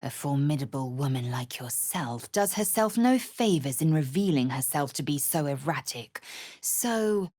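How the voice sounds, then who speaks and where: A woman playing a proud, stern githyanki warrior in a fantasy video game, with a husky rasp and clipped, imperious, formal delivery. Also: sits low, animated, unhurried, mellow, dry recording, clean studio sound. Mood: stern, haughty.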